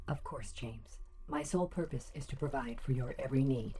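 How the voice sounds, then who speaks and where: Very deep-voiced, serene computer assistant. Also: female voice, fairly quick, breathy edge. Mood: calm, dutiful. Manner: formal, precise, deadpan. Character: serene, literal-minded female household computer assistant. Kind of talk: audio drama acting.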